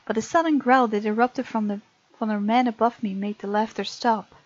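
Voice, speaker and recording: A woman gamer reading visual novel narration aloud in an expressive, informal way, with casual commentary of her own. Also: on the high side, fairly quick. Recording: muffled mic, game music behind. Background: game music.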